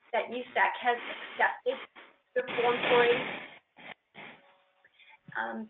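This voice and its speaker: A woman presenting on a training call, walking informally through a procedure step by step in a halting, hesitant way. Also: a little high. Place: conference call with shared screen. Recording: roomy, muffled conference-call line.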